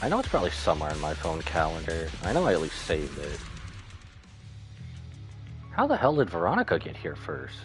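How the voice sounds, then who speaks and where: Male game streamer, low but animated, rambling casual chat over faint music. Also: a little fast, long pauses. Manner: rambling, thinking aloud. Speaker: live streamer. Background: video game music and dialogue.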